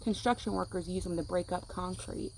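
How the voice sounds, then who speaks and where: Lower-voiced woman reading a picture book. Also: a little fast, vocal fry, a little hiss or hum, low-quality recording. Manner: acts out sound words; simple, addressing young listeners. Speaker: teacher reading aloud to children. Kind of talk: picture-book read-aloud.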